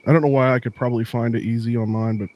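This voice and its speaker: A man's voice: mid-range voice, steady tone, very fast, a little mellow. A man in casual chat, explaining streaming settings with many restarts. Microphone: desk mic through a mixer.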